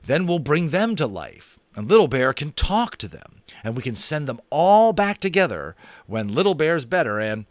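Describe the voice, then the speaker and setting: A man's voice: a little high, sing-song, moderate pace, no room sound, pitched above the performer's own voice. A man doing an eager schoolboy.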